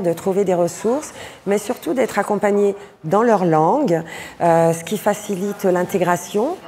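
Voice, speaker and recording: Mellow-voiced woman explaining a program. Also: medium pitch, a little hiss or hum. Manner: informative, steady explanation. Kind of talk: documentary interview.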